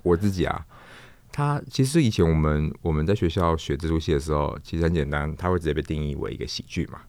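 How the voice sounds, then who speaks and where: Middle-aged male interview guest, Taiwanese accent, low-pitched, close dry sound, thoughtful and halting as he reflects. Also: a little mellow, no room sound. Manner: thoughtful, searching for words, repeats phrases. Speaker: guest, stage actor and storyteller.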